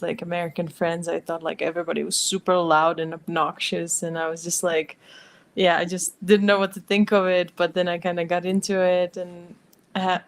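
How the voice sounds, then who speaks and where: A young woman from the Czech Republic with a Czech accent, a snowboarder chatting casually in an interview, full of "like" fillers. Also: on the low side. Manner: relaxed, chatty, many "like" fillers. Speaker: guest, professional snowboarder.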